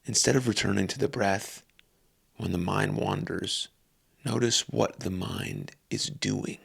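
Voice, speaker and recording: A man leading a guided meditation calmly and unhurriedly, in short phrases with long pauses. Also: lowish voice, creaky, breathy edge.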